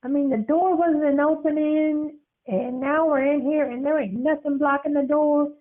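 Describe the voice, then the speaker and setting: Little boy, high and squeaky, nervous, pleading, tinny phone-like audio. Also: fairly even intonation, measured pace. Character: scared, cautious little boy.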